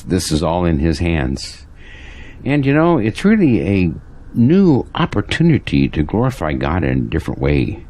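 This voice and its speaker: Very deep-voiced, earnest pastor played by a man. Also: darkish tone, full character voice. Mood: earnest, fervent. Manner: earnest, preachy. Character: devout, troubled male pastor, earnest and convicted. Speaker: actor in an audio drama. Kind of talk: earnest audio drama dialogue.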